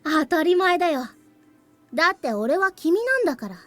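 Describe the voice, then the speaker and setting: A woman voicing a calm, aloof young boy with a cool, matter-of-fact, slightly cheeky manner in a Japanese visual novel. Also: extremely high pitch, fast, soft background music, full character voice. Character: calm, aloof young boy, crystallized past memory of the hero.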